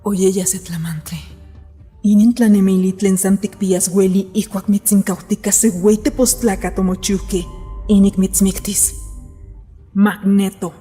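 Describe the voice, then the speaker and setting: Animated drama dub: actress as a sorrowful female survivor, mellow and husky, reverberant with music beneath. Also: mid-range voice, a little slow. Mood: solemn, grieving. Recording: long reverb, music underneath.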